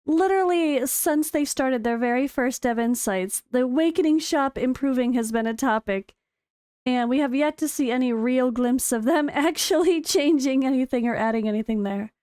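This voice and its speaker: High, slightly hoarse American woman gamer. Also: normal speed, no echo, clean studio sound. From United States. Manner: chatty and excitable, with frequent fillers. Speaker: gaming content creator. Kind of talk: casual commentary reading developer notes.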